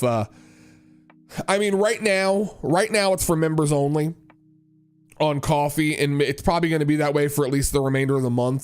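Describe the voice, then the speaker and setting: Guy streamer chatting with viewers, rambly, restarts a lot, mid pitch, some music low behind. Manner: rambling, restarts words, answers chat. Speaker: livestream host and worship leader. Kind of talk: casual livestream chat.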